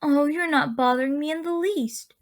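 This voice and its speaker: A woman playing a kindly old woman of a magical land in a radio drama, speaking with gentle, genteel endearments. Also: high-pitched, moderate pace, close to an everyday voice. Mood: warm, busy.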